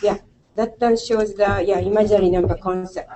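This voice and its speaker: A middle-aged woman with a Japanese accent explaining math in a classroom, halting and repeating short phrases, checking with "right?". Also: medium pitch, fairly even intonation, warm tone, slight background noise. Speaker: math instructor. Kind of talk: explaining math to a small study group. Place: classroom with whiteboard and posters. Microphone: far room camera on a video call.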